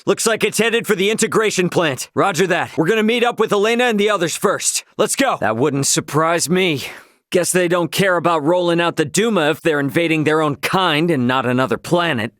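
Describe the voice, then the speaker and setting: An actor playing a brash, hot-headed but loyal young starship captain in a video game, with a blunt, cocky, slangy delivery. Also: male voice, fairly high pitch, brisk, fairly bright tone, no room sound, clean studio sound, close to an everyday voice. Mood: alarmed, urgent. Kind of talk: voiced video game character lines.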